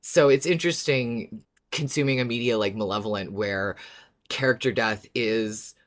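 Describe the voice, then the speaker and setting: Thoughtful podcast co-host, halting phrasing. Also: mid-range voice. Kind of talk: casual podcast discussion.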